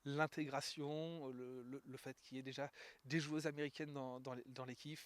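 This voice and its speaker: A man's voice: medium pitch, little pitch movement, moderate pace. A man interviewing a new player for a club's media channel, framing long, leading questions. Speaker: club interviewer.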